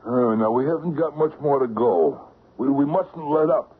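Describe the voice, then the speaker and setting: Man acting a genial heist ringleader, animated, old radio. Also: medium pitch, normal speed, close to an everyday voice. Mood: reassuring. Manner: reassuring, coaxing, hesitant starts. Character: genial, confident ringleader of a burglary crew. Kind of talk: old-time radio crime drama acting. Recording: narrow-band hissy old radio recording.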